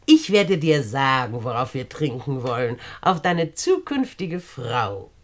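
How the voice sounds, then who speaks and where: An actress playing a wealthy, fussy, condescending older aunt in a radio play, speaking in a bossy, sweetly patronising way. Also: female voice, very deep voice, big pitch swings, unhurried, full character voice. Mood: gracious, eager. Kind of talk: German radio play acting.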